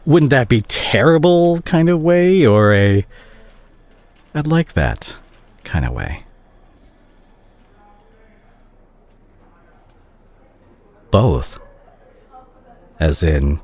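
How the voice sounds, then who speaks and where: A man acting as a remorseful young doctor talking intimately to his ex in an audio roleplay. His voice is low and husky, with lively swings in pitch. He speaks gently and haltingly, full of tenderness. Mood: nervous, hopeful. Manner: hesitant, soft-spoken, halting. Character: remorseful, tender male doctor, listener's ex-boyfriend.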